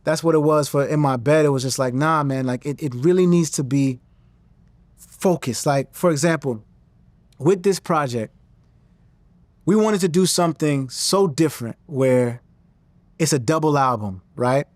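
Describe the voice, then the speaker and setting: A man, talking in an interview in a confident, animated way and often restarting his sentences. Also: a little high, a little slow, pauses often, no room sound. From Nigerian-American. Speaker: interview guest, singer and actor. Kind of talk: casual interview talk.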